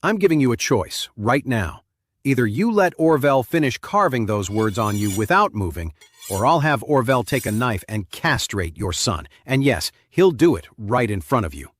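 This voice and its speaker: A man plays a cold, mocking avenger in an audio story. He gives orders and threats in a stern, medium-pitched voice with lively swings. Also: moderate pace. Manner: stern, taunting, commanding.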